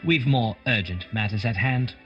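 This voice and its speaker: An actor playing a brisk, impatient, commanding male detective in a radio drama. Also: mid-range voice, muffled recording, faint music behind, lightly acted. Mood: brisk, urgent.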